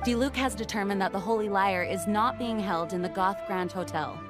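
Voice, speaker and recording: Dutiful young lady knight done by an actress, formal, mid pitch, music playing behind. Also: natural voice. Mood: composed. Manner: measured, formal. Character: earnest, dutiful young female knight commander. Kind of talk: anime game voice acting.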